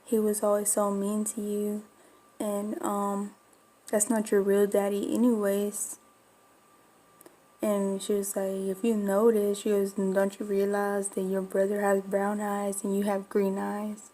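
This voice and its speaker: A woman's voice: medium pitch, completely flat delivery, unhurried, dark tone. A woman from Florida with a slight Mexican Spanish accent, whose first language was Spanish, telling a personal story in a rambling, confiding way on her vlog. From Florida, USA. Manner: rambling, confiding, many fillers. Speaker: bulldog breeder vlogger.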